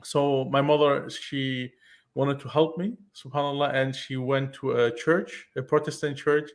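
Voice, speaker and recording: A man's voice: mid-range voice, little pitch movement. Earnest man. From Iran, raised in Germany. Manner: earnest, halting, with many restarts and fillers. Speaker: panelist on a call-in show. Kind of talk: casual explanatory chat.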